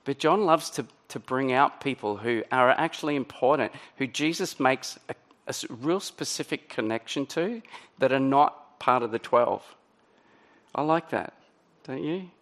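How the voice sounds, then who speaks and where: A middle-aged man preaching a sermon in a conversational way, his voice a little croaky. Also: medium pitch, unhurried, a bit bright. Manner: conversational, many ums and restarts. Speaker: pastor.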